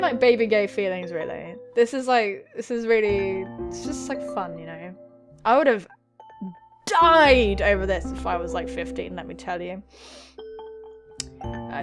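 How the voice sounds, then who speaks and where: An excitable, giggly young woman reading a visual novel aloud and adding dramatic, sweary commentary as a gaming host. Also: medium pitch. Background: music.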